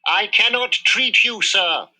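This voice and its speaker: A man voicing a polite, brave storybook mouse dentist, courteous and emphatic, pitched up high. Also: slow, pushed and bright, exaggerated voice, low-quality recording. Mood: firm, shouting. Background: music.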